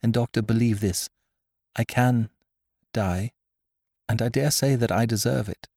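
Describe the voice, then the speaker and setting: A man's voice: on the low side, unhurried, darkish tone, no echo, clean studio sound. A man reading aloud and voicing a frightened but honest young boy, tearful yet steadfast.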